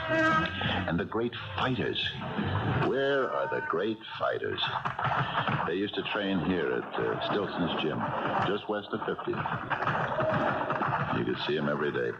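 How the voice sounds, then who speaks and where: An actor playing an old retired police detective in a radio drama, folksy, nostalgic and rambling. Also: male voice, extremely low pitch, huge pitch swings, unhurried, pauses often, heavily acted. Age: older. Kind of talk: 1970s radio drama acting. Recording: muffled old radio broadcast, music behind.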